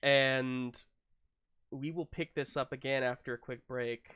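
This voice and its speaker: A game master hosting and narrating a tabletop roleplaying game, moving between drawn-out suspenseful narration and casual chat. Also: medium pitch, fairly even intonation, a bit bright, clean tone. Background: music.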